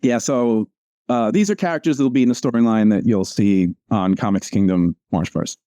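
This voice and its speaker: Cartoonist guy rambling about his strips, lots of ums, mellow voice, dry sound. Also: fairly low pitch, normal speed, no room sound. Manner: rambling, many fillers and restarts. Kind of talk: casual chat over a video call.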